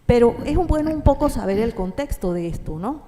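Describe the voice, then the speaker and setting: A woman's voice: fairly high pitch, lively intonation, mellow. Woman speaking in an echoing parish hall. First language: Spanish. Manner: measured, thoughtful, with pauses and fillers; asks the audience questions. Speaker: parish speaker at a church talk. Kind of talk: explanatory talk to a parish audience. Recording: distant echoing church hall with faint noise.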